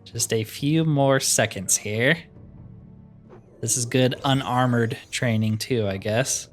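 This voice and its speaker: A man's voice: medium pitch, slow, faint music behind. A man giving casual, wry commentary as he plays through a video game, often with dry humor. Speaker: gaming video host.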